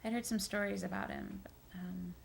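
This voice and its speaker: A woman's voice: mid-range voice, steady tone, moderate pace, creaky, background noise. A woman playing an easygoing young city woman, talking casually and a little hesitantly, in a matter-of-fact way. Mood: unsure.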